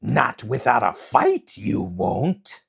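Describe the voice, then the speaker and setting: A man voicing a big demon king in a storybook, drawing out his threats slowly. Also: mid-range voice, sing-song, creaky, fairly bright tone, husky, voice pushed up, heavily acted. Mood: menacing. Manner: slow, drawn-out threats. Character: menacing big demon king, later begging.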